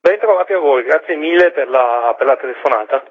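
Husky man on a tinny phone. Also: medium pitch, expressive, fairly quick, dry recording. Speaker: interviewed book translator. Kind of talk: explanatory radio phone interview. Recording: tinny, narrow telephone line.

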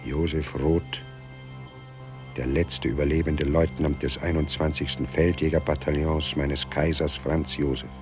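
An actor playing a dead, delirious writer and old soldier in a radio drama, brooding in slow, halting, gravelly monologue. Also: male voice, rumbling low, little pitch movement, over music. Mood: solemn, remembering.

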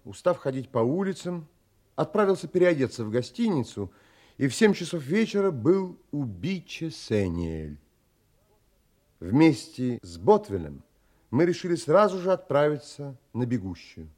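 An earnest, brooding romantic adventurer in an audio drama. His husky, mellow voice takes its time, pausing dramatically as he narrates and speaks. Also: fairly high pitch, very animated. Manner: dramatic, measured, with pauses. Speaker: actor and narrator.

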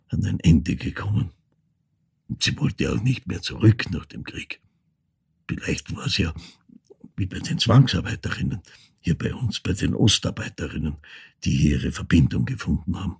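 An older actor with an Austrian accent playing a reflective ageing man who recounts his wartime childhood in a halting, hushed literary monologue. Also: sits low, full character voice. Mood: sober recollection. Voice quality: gravelly, husky. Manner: halting, deliberate, hushed, broken phrasing. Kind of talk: literary monologue, dramatic storytelling.

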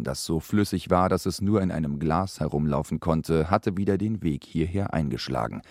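Low-voiced, testy radio-play narrator. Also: male voice, a little mellow, dry recording, studio quality. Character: irritable male narrator with a mock-solemn storytelling tone.

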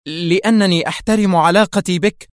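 A man voicing a hot-tempered, scheming woman crime boss in audiobook dialogue, sharp, agitated and insistent. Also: high-pitched, fairly even intonation, a little fast, dry recording, studio quality, voice pushed up, natural voice. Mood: furious.

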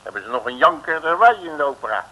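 High-pitched, blustering detective. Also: male voice, big pitch swings, fast, pushed and bright. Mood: gruff, irritated. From Netherlands. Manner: muttering, stammering, blustering, colloquial. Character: grumbling, nervous, street-wise middle-aged Rotterdam detective. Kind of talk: comic radio serial acting, around 1960. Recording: noisy old radio recording.

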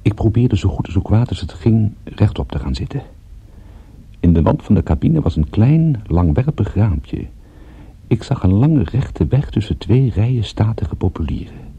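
An actor playing a weary, battered private detective, narrating a radio drama in a measured, grim way. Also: male voice, lowish voice, very animated, mellow, breathy edge, heavily acted. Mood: groggy, watchful. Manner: measured, grim, literary storytelling. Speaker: narrator and lead of a radio play. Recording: narrowband, muffled old radio recording.

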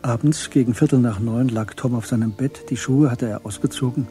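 An actor as the composed narrator of a German crime radio drama, reading in a measured, understated way. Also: male voice, mid-range voice, warm tone, soft background music, close to an everyday voice.

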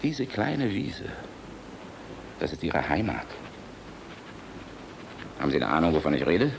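An older man playing a gruff, fatherly, world-weary army general in a German radio play, blustery and philosophizing, shifting between barking and tenderness. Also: very deep voice, sing-song, measured pace, noisy background, heavily acted. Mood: earnest, fatherly.

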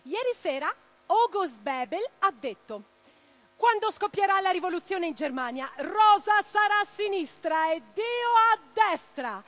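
A woman playing a passionate, idealistic revolutionary in a radio drama, declamatory and expressive. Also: sits high. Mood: emphatic, ironic. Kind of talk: theatrical radio drama monologue and dialogue. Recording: radio play with music underneath. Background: music.

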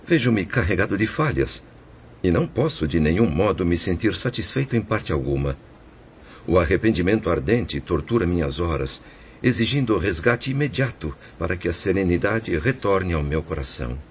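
A very low male voice from an actor portrays a penitent, tormented spirit of a bankrupt merchant. The delivery is formal and declamatory, in the manner of a religious radio play. The recording is dull, with faint noise behind it. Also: animated, normal speed. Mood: ashamed, anguished. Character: remorseful male suicide spirit, ruined merchant, resigned yet anguished. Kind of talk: religious radio drama acting.

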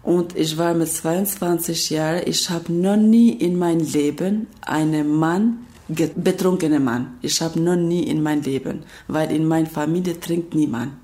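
A woman in her fifties from Kosovo, a native Albanian speaker, recounting her past in slow, halting, broken German. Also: deep voice, mellow. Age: 50s. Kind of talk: interview, personal recollection.

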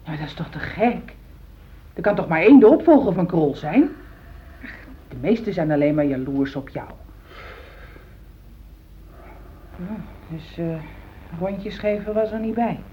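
A woman's voice: fairly low pitch, animated, normal speed, a little hiss or hum, close to an everyday voice. An actress in a Dutch radio play, playing a pragmatic, ambitious housewife who insistently reassures and backs her husband. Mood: probing, reassuring. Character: loyal, ambitious middle-aged housewife, pragmatic, supportive of husband. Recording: dull old radio recording.

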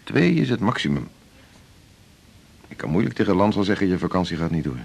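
Husky, animated senior male doctor. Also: low-pitched, brisk, heavily acted. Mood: firm, placating. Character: senior male doctor, brisk, jovial, patronising boss. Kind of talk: naturalistic Dutch radio drama dialogue.

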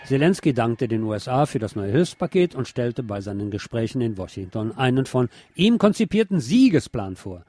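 Guy reading opinionated news script, soft dark voice, bit of music behind him. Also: mid-range voice, sing-song, moderate pace, few pauses. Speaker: news presenter. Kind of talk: scripted news commentary read aloud.

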